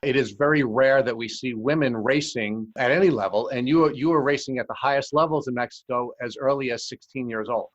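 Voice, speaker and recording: Podcast host guy, mid pitch, measured, reads the intro formal, dry sound. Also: no room sound, lo-fi sound. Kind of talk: scripted intro, then interview questions.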